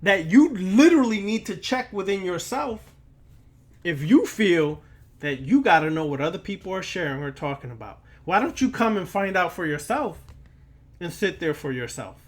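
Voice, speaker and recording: A man's voice: high-pitched, big pitch swings, normal speed. A man lecturing in an emphatic, preachy manner, speaking straight to the listener and repeating words and phrases for weight. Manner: preachy, admonishing, addresses listener directly. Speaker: occult lecturer and teacher. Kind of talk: impromptu sermon-like lecture to camera.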